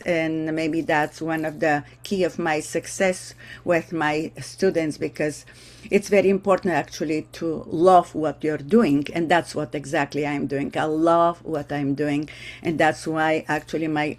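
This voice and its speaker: Halting Bulgarian-accented older woman. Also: low voice. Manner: halting, searching for words. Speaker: vocal ensemble director. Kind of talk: casual interview talk.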